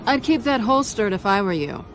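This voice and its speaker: An actress playing a sly, playful cat burglar in a video game, cool and sardonic. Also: female voice, fairly high pitch, lively intonation, normal speed, heavy background noise, lightly acted. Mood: teasing. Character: sly, teasing female cat burglar in costume.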